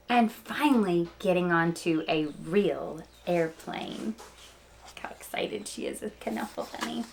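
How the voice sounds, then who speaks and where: A woman reading a picture book aloud to young listeners, expressive and speaking to them directly with small asides. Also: medium pitch, a little hiss or hum. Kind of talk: children's read-aloud storytelling with asides.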